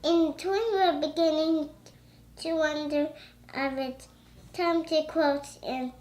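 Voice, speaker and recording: A young child reading a storybook aloud haltingly, breaking into excited chatter. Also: sits high, a little hiss or hum.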